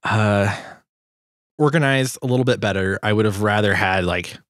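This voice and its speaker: A man in his twenties talking casually through his sound design work, relaxed and self-deprecating, close to the microphone. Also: fairly low pitch, moderate pace, dry recording. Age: 20s. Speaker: sound designer hosting a tutorial. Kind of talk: casual screen-share walkthrough. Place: small home studio room. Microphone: close boom-arm microphone.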